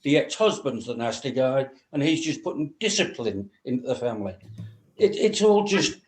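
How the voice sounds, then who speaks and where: A man's voice: medium pitch, animated, normal speed. Man, blunt, in an echoey room. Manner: blunt, colloquial. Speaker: script reading panellist. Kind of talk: casual panel critique.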